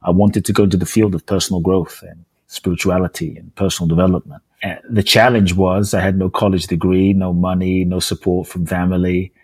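A man with a very low, slightly rough voice speaks as an interview guest. He tells personal stories earnestly, leaning on repeated phrases like a practised speaker. The sound is close and dry. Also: level tone, brisk, a little mellow, dry recording. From born in Ghana, raised in London. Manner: earnest, emphatic, repeats phrases for rhetorical effect. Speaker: podcast guest, speaker and author. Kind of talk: reflective personal storytelling interview.

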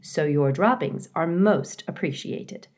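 A woman's voice: low voice, takes it slowly, darkish tone. A woman voicing a friendly, polite female robot mother in a storybook, with formal, plain phrasing. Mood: polite, earnest.

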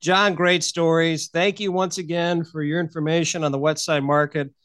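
High-pitched male podcast host, very dry sound. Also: little pitch movement, normal speed, a bit bright, smooth, no room sound. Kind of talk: casual chat, interviewing and storytelling. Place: close, dry recording.

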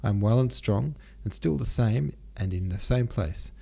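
Anguished young Russian count for an audiobook read by a man: questioning, soft-edged dark timbre. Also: on the low side, normal speed, creaky, husky. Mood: anguished, bewildered. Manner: anguished, questioning inner monologue. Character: distraught young Russian count losing at cards. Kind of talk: audiobook character reading.

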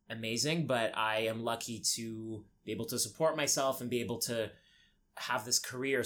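Podcast guest: young man from Egypt, candid and reflective, hesitant phrasing, medium-pitched, faint background noise. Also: fairly bright tone. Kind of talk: candid personal interview.

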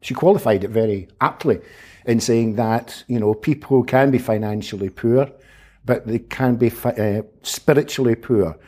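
A man, a humanitarian aid worker giving measured, thoughtful answers as an interview guest. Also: medium pitch, dark tone. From Scotland. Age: 50s. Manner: measured, thoughtful, qualifies his words. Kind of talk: reflective interview conversation.

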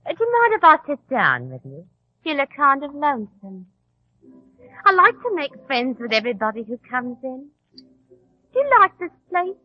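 A woman's voice: high voice, wildly swooping pitch, normal speed, no echo. An actress playing a chummy, probing tavern girl with shady schemes in a 1940s radio drama. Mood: chummy, coaxing. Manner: familiar, coaxing, probing. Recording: tinny, narrow old radio recording.

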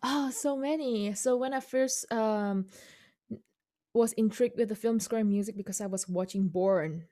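Female composer guest, Indonesian native speaker, mid pitch, halting, dry recording. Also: mellow, no echo. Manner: halting, many fillers and restarts, laughs often. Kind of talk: casual interview.

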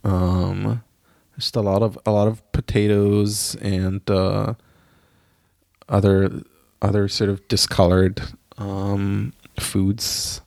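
A man who grew up in Israel, chatting casually on a podcast, pausing often with fillers and restarts. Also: lowish voice, little pitch movement, gravelly, a little mellow, no echo, clean studio sound. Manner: hesitant, many fillers and restarts. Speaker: podcast co-host. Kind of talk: casual chat.

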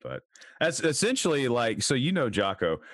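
A man hosting a podcast, chatting with upbeat enthusiasm and quick asides. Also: medium pitch, sing-song, a bit bright, no room sound, studio quality. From Georgia, US. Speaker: podcast host and editor. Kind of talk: casual podcast chat.

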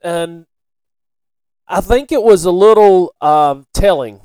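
Smooth, higher-voiced man chatting. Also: slow, dark tone, no echo. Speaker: podcast co-host. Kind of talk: casual sports and local-news chat.

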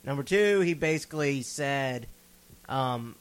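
A man's voice: medium pitch, measured pace, smooth. A man co-hosting a casual wrestling podcast, telling stories with sarcastic mock quotes and plenty of swearing. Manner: profane, animated storytelling with mock quotes. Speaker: podcast co-host.

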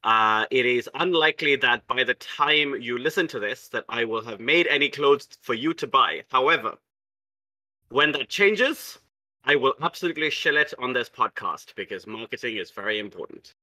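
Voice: medium pitch, lively intonation, normal speed, pushed and bright, no room sound, low-quality recording. A player from South India who designs games, talking in a playful, theatrical and grandiose way. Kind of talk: casual podcast chat.

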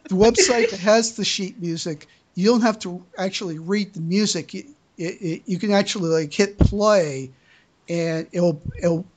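A podcast host chatting casually with a halting delivery, often stammering and repeating words. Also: mid-range voice, darkish tone. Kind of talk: casual fan podcast discussion.

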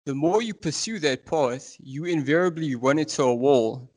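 A man's voice: on the high side, darkish tone. A man in his thirties from South Africa, with a South African accent, talking earnestly and at length as a podcast guest. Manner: earnest, fast-flowing, passionate explaining. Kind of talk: casual interview talk.

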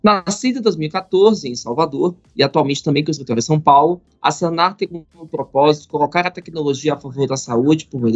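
A man hosting a business podcast, reading a formal introduction and asking questions in a polished, measured way. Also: fairly high pitch, darkish tone. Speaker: podcast host. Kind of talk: scripted introduction and interview questions. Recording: slightly muffled, faint background music.

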